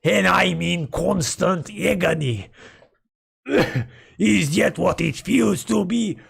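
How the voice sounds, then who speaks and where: A man streaming and chatting casually with his viewers in a rambling, playful, mock-dramatic way, his voice hoarse from a sore throat. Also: high voice, slow. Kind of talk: casual livestream chat with viewers.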